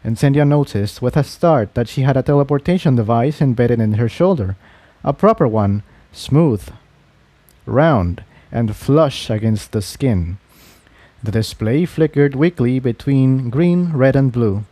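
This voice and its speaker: A man's voice: mid-range voice, lively intonation, a little slow, warm tone, dry recording. A man reading scripted fantasy fiction as an audiobook narrator.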